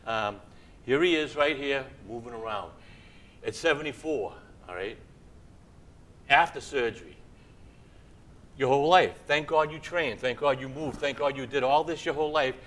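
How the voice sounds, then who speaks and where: A man in his sixties hosts a relaxed chat, rambling and upbeat as he urges people to keep moving. His voice sits at an ordinary middle pitch. A faint rumble runs under the recording. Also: normal speed, long pauses. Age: 60s. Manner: chatty, rambling, encouraging. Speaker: show host. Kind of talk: casual interview chat.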